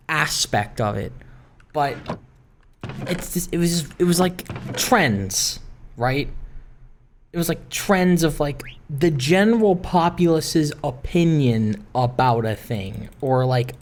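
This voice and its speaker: A gaming video creator chatting casually while playing, rambling in a hesitant, halting way and often trailing off. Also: mid-range voice. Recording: clearly noisy, with clicks.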